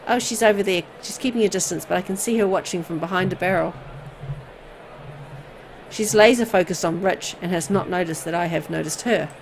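A woman reading a horror visual novel aloud in an expressive storytelling style. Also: fairly low pitch, fairly quick, vocal fry, slight background noise, rough recording.

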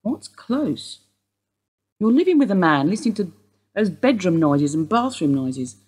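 An actress playing an older woman being questioned by police, guarded and wry in a husky voice. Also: lowish voice, very animated, unhurried, darkish tone, exaggerated voice. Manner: guarded, dry, wistful. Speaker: police interview suspect. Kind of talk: radio play acting.

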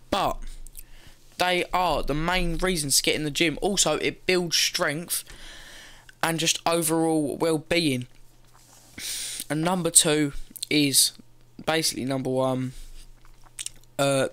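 A man's voice: fairly high pitch, bright, forceful tone. A teenage boy giving casual gym advice from his bedroom, loose and rambling, swearing now and then, talking into a gaming headset. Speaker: fitness vlogger. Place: bedroom, indoors.

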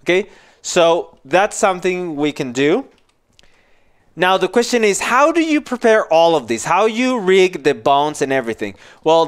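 A man in his forties giving an informal software tutorial, explaining casually step by step into a headset microphone in a small office. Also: on the high side, clear, resonant tone. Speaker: animation tutorial instructor.

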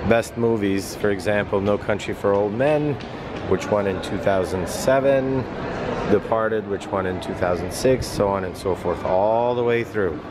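A man's voice: lowish voice, measured pace, noisy background. A man hosting a casual walking-tour vlog outdoors, pointing out sights in a loose, rambling way with small self-corrections. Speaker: vlog host, tour guide. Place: outdoors on a city boulevard.

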